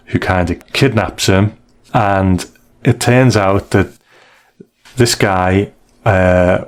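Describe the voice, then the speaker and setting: Man, low pitch, casual discussion, hesitant and thoughtful, podcast co-host. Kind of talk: casual discussion and story review.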